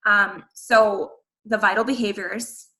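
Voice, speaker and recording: A woman's voice: medium pitch, steady tone, slow, pushed and bright, rough recording. A woman giving an earnest, candid motivational talk to her sales team, encouraging them and using casual fillers. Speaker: guest speaker at a team training.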